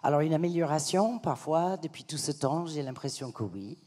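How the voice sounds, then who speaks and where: A middle-aged woman with an American accent, speaking French on a conference panel as an association advocate, earnest and measured with some hesitations. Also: deep voice, lively intonation, dark tone. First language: English. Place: large conference hall. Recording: long hall reverb.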